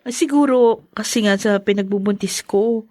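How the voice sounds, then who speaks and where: A woman's voice: medium pitch, moderate pace, a little mellow, no echo, lightly acted. A woman playing a meek, weary young pregnant wife in a Tagalog radio drama, with a plaintive manner. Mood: shy, hesitant. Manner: apologetic, plaintive.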